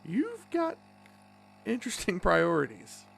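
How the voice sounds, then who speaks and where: A woman playing a protective, cautious fey sibling in an audio drama, earnest and gently stern. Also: lowish voice, wildly swooping pitch, takes it slowly, vocal fry, a little hiss or hum, heavily acted, rough recording.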